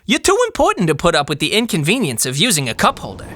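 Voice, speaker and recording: A woman voicing a slick, flattering, pushy salesperson in a comic monologue, grandiose and emphatic. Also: lowish voice, fast, background noise.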